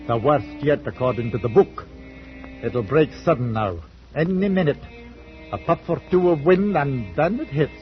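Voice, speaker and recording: A man's voice: fairly high pitch, natural voice. Guy playing stolid sea captain, plain spoken n understated, old radio drama, lo-fi w some music under. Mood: calm, foreboding. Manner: understated, plain-spoken, hesitant with small pauses. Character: stolid, unflappable, fair-minded ship's captain. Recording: muffled vintage radio recording with music.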